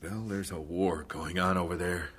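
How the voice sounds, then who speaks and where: A man's voice: deep voice, fairly even intonation, normal speed, background noise, lightly acted. A man with an American accent playing an earnest missionary husband and father in an old radio drama. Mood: grave, urgent.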